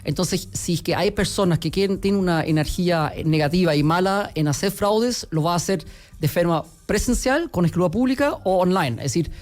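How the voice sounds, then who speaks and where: A man's voice: high voice, fairly quick, a little mellow. A man with a German accent, giving hesitant, filler-heavy answers as a guest on a radio interview. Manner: hesitant, many fillers and restarts. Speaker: guest, company executive.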